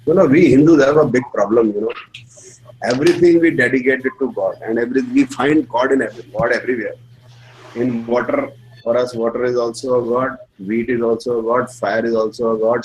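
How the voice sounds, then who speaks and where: A man's voice: mid-range voice, darkish tone, rough recording. Indian male teacher, lecturing plainly in simple English, faint hiss behind. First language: Hindi. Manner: explains slowly in simple English, checks with "right?". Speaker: astrology teacher. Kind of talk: classroom lecture.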